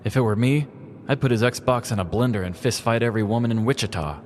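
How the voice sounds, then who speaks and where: A man's voice: medium pitch, quick pace, lightly acted. Man voicing a brash, blunt slob woman. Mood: scornful. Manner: blunt, casual. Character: brash, careless slob woman, freeloading petty criminal.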